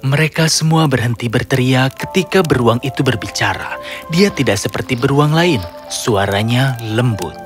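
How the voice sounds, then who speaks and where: A man's voice: medium pitch, lively intonation, normal speed, over music. A man narrating a scripted children's fairy tale as a storyteller.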